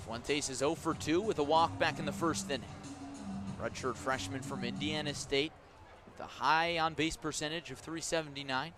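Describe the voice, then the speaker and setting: A man doing live radio play-by-play of a baseball game, with a measured call that rises sharply on big plays. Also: on the high side, steady tone, music in the background.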